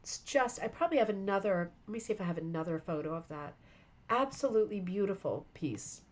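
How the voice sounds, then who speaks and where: A woman's voice: medium pitch, expressive, brisk. A woman hosting a rug hooking club stream, tired and losing her voice, talking enthusiastically and rambling while chatting with viewers by name. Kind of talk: live stream show-and-tell chat.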